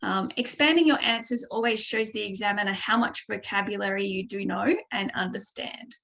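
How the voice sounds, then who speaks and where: A woman's voice: mid-range voice, moderate pace, creaky, pushed and bright, slight background noise. An Australian woman giving a webinar lesson as a speaking tutor over a video call mic, explaining steadily with frequent ums. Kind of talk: online webinar presentation.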